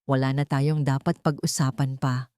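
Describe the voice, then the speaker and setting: A woman's voice: extremely low pitch, little pitch movement, moderate pace, a little mellow, no echo, clean studio sound, voice pushed down. A Filipino woman voicing a resolute self-made businessman with a firm manner in a dramatic story. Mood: firm, defiant. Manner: firm, hard-edged.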